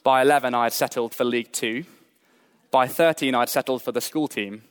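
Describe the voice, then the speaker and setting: A young adult man from Britain preaching a sermon in a measured, earnest way, mixing scripture with personal stories. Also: mid-range voice, clear, resonant tone. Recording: long hall reverb.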